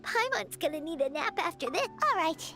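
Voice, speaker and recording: A woman voicing a tiny, excitable, childlike fairy companion in a video game, squeaky and exclamatory, sometimes petulant. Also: a little fast, soft background music, heavily acted. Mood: eager. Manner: exclamatory, petulant, speaks of herself in third person. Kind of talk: video game cartoon voice acting.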